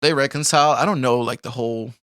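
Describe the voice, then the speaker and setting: A man's voice: mid-range voice, quick pace, a bit bright, no room sound, studio quality. A young man from Colombia telling his life story in a casual interview, rambling with lots of fillers and frequent laughs. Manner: rambling, laughs often, many fillers like "like" and "you know". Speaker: podcast guest.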